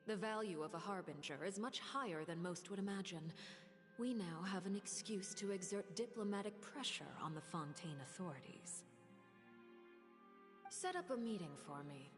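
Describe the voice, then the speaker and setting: Game spymaster, played by a woman: commanding, low-voiced, measured and formal, cool and calculating, with music clearly underneath. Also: animated, close to an everyday voice. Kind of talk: scripted video game voice acting.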